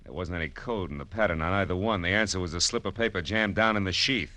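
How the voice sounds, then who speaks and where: An actor playing a sardonic, commanding private detective in a 1940s radio crime drama, with clipped, slangy delivery. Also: male voice, low voice, fast talker, pushed and bright. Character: hard-boiled wisecracking male private detective, sardonic and commanding. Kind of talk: 1940s radio crime drama acting with first-person narration. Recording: noisy, clicky vintage radio recording.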